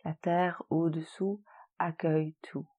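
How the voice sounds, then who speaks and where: A woman leading a guided relaxation meditation in a soothing, gentle, unhurried manner with long pauses. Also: sits low, level tone, muffled recording. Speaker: yoga nidra relaxation guide.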